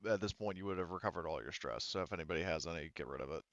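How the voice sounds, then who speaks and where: A man speaking off the cuff as game master of a tabletop game, haltingly and thinking aloud. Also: sits low, creaky, a bit bright, no echo, highs cut off. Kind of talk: unscripted tabletop game narration.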